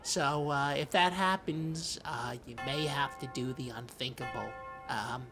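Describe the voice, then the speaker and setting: A podcast host chatting in an improvised comedy, halting and stammering like a nervous, gullible, childlike character. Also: mid-range voice, over music.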